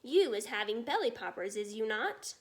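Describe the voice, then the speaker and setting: A woman voicing a gentle, friendly storybook giant who speaks in muddled grammar and invented words. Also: fairly high pitch, big pitch swings, moderate pace. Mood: puzzled, explaining.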